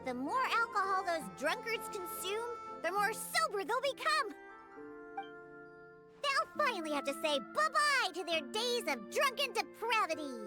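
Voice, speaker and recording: Feisty child bartender, game role: very high and lively, indignant, dramatic, scheming. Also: female voice, unhurried, full character voice. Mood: gleeful scheming. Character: feisty little girl bartender, grumbly, scheming, hates alcohol. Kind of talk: video game cutscene voice acting. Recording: game music behind the voice.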